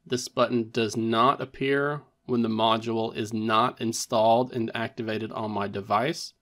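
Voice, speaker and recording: Guy doing a step by step tech tutorial, mid pitched voice, explains stuff methodically. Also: steady tone, a little slow, fairly bright tone. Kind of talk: step-by-step instructional narration.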